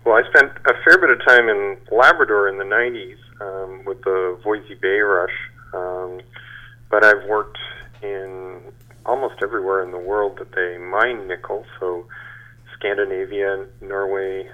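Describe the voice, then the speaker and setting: A man in his sixties with a deep voice, heard through a dull, band-limited recording. He answers interview questions conversationally, in a halting, measured way. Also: steady tone. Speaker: geophysicist, interview guest.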